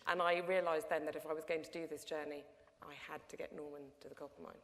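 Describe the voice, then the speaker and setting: A woman's voice: deep voice, flat delivery, fast, fairly bright tone. A middle-aged woman telling a story on a panel, chatty and full of restarts. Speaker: panel guest, documentary producer. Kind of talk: panel discussion anecdote. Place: lecture hall before an audience. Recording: long hall reverb.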